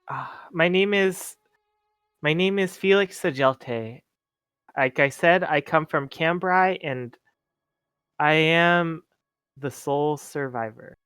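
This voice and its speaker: A man's voice: fairly high pitch, a bit bright, clean tone, dry recording, clean studio sound. Man playing a hesitant, polite blind teenage boy. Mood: nervous, guarded. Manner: hesitant, halting, stammering. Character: timid, polite blind orphaned teenage boy, haunted survivor.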